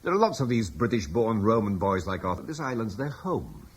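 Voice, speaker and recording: An actor playing a thoughtful, idealistic older father, speaking in a reflective, persuasive way with a husky voice. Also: lowish voice, very animated, a little fast. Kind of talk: radio drama acting.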